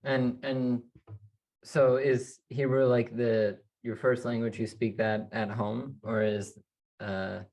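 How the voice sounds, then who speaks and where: A young man hosting a casual interview with an even, flat delivery. He asks relaxed questions, padded with "you know" and "like", in a laid-back tone. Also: medium pitch, slow, rough recording. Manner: relaxed, filler-heavy questions. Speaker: interview host.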